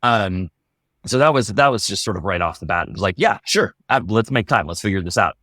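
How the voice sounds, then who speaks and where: A man's voice: lowish voice, animated, fairly quick, pushed and bright, no room sound, clean studio sound. A man, an actor in a casual interview, who repeats words as he searches for his phrasing.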